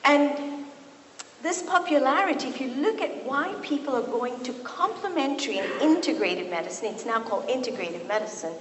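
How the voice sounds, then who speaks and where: A middle-aged woman from Kenya, a physician giving a lecture to an audience in a university hall, telling stories with lively asides. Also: high-pitched, fairly quick. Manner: warm and storytelling, with lively asides. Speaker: physician and speaker. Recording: echoey hall, faint noise.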